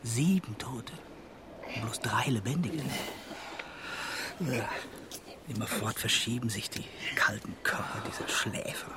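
A man acting a furtive, callous traveller in a radio play, confiding in a hushed, matter-of-fact way. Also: medium pitch, measured pace, pauses often, very noisy, close to an everyday voice. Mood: uneasy, hushed.

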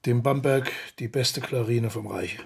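A man acting a proud, irascible eighteenth-century composer in a German period radio drama, sardonic and commanding, with a gravelly, husky voice. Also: medium pitch, a little slow, heavily acted. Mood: subdued, solemn.

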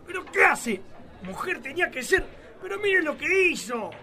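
Un actor haciendo de ricachón argentino intrigante y despectivo. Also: male voice, extremely high pitch, big pitch swings, normal speed, sharp tone, faint music behind, some chatter behind, exaggerated voice. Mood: scornful. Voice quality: sneering, bossy. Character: scheming rich rancher villain.

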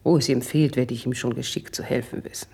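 Consoling courtly female friend, classical verse drama, low mellow voice, husky, formal delivery. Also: fairly even intonation, fast talker, heavily acted. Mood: assured. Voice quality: husky, dark. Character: consoling, devoted lady friend of a princess. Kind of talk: classical German verse radio drama acting.

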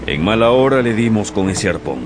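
An actor playing a haunted, superstitious whaling captain in a radio drama, speaking with dramatic emphasis. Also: male voice, lowish voice, expressive, brisk, noisy background, natural voice. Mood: awed, bitter. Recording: radio drama with music behind.

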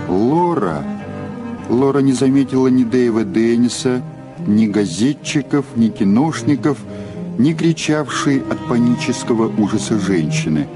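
A man narrating an audio drama in a dramatic, scripted storytelling style. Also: mid-range voice. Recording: clear music behind the voice.

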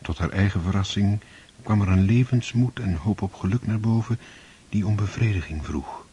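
A man narrating a radio play in a steady, measured storytelling manner. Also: fairly low pitch, husky, muffled recording. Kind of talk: scripted narration.

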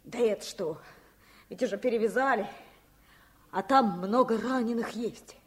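Woman as a plucky high-voiced street boy. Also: measured pace, lightly acted. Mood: matter-of-fact urgency. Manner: colloquial, blunt street-kid speech. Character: plucky, cheeky working-class boy messenger. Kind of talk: Soviet radio drama acting.

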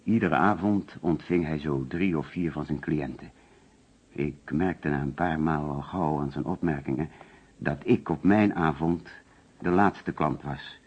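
Uneasy suspect, very deep husky voice. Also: male voice, measured pace, exaggerated voice. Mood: nervous, defensive. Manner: hesitant, explanatory, defensive. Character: male murder suspect, uneasy, defensive insurance agent. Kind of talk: radio play acting. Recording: dull old radio recording.

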